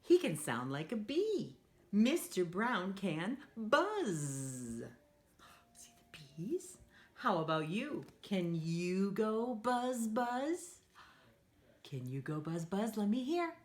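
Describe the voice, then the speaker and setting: A woman's voice: mid-range voice, takes it slowly. A woman hosting a read-aloud storytime for young children, speaking in a playful, encouraging way. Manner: animated, encouraging, asks listeners to join in; playful, acts out sound effects.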